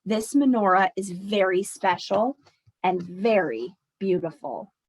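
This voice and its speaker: Woman voicing a slow-spoken, earnest little girl. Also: mid-range voice, expressive, breathy edge, no room sound, pitched below the performer's own voice, exaggerated voice, low-quality recording. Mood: earnest affection. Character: young granddaughter, curious, doubtful but affectionate.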